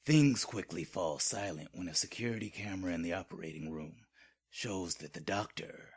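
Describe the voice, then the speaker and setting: Guy narrating a horror story, really deep husky voice, dramatic and menacing. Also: normal speed. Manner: ominous, dramatic.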